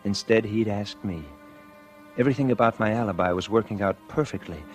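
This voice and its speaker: An actor playing a nervous, scheming murderer who narrates his crime in a deliberate, confessional way, in 1940s radio suspense style. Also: on the low side, lightly acted. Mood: tense, calculating. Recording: vintage radio drama with music underneath.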